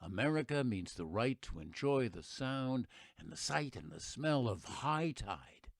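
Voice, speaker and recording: A man's voice: mid-range voice, very animated, a little slow, creaky, no room sound, clean studio sound, exaggerated voice. A man playing a folksy, earnest narrator in an audio play, speaking in an oratorical, theatrical style. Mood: earnest, lyrical. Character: folksy, earnest male narrator musing on his country.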